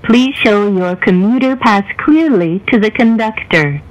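Lady reading train announcements, super slow, formal, medium pitch. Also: lively intonation, rough recording. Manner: formal, deliberate delivery. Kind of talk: scripted in-car train announcement.

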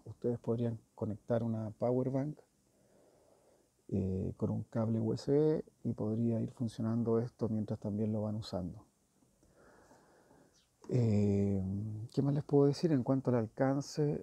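A man's voice: medium pitch, vocal fry, dark tone, breathy edge, no room sound. A man giving a casual hands-on review as a motorcycle gear reviewer, informal and thinking aloud.

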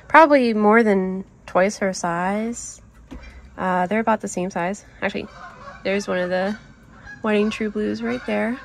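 A woman in her thirties giving a casual, explanatory homestead vlog, unhurried and pausing mid-sentence. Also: mid-range voice. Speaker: homesteading vlogger. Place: outdoors in a covered chicken run and coop. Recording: outdoor ambient noise.

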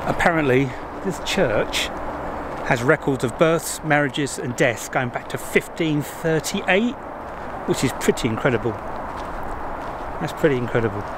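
A man's voice: a little high, animated. A middle-aged man in his fifties, chatting casually and musing aloud while walking outdoors, filmed on a handheld camera at arm's length. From England. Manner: chatty, rambling, pauses to muse. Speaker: vlogger. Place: outdoors by a country churchyard near a motorway. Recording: loud traffic noise behind.

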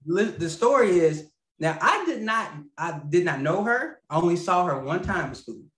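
Guy in his 30s telling a story over a video call, kinda high voice, rambly, laughs as he goes, roomy echo. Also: sing-song, normal speed, fairly bright tone. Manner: rambling, laughs mid-sentence, many fillers and restarts. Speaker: guest. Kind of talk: casual storytelling. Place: home room.

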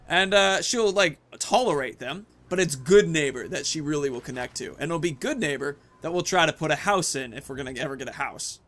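A man's voice: fairly high pitch, a little fast, hardly any pauses, a bit bright. A man in his thirties giving casual commentary as the host of a gaming video.

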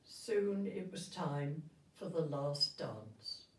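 An elderly woman reading a storybook aloud to children in a gentle, grandmotherly and expressive way. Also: sits low, very slow. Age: 70s or older. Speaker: retirement village resident reading a story. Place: indoor room with festive backdrop. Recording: roomy sound, distant microphone.

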